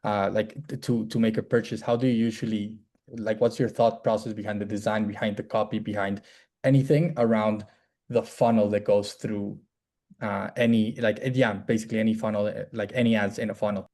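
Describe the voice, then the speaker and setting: A man with a low, mellow voice puts a question to the guest. He gropes for his words, restarts, and rephrases as he goes. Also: flat delivery, brisk. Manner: searching, self-correcting question. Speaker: community member asking a question.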